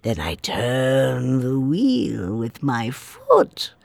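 A woman's voice: rumbling low, huge pitch swings, drawn-out words, gravelly, darkish tone, dry recording, heavily acted. A woman playing a sly wicked fairy posing as an old crone. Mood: coaxing. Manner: sly, coaxing, sinister whispers. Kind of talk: audio drama acting.

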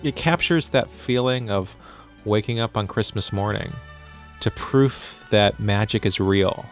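A man hosting a podcast, chatting in a relaxed, conversational way and reading ads with light hesitations. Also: on the low side, unhurried, soft background music. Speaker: podcast host. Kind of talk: chatty intro and ad reads.

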